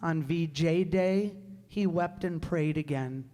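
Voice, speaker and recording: An actor playing a patient senior angel in a radio play, narrating in a measured storytelling manner. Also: male voice, on the high side, mellow. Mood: grave. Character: senior heavenly angel, patient storyteller briefing his underling. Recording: echoey hall, long reverb.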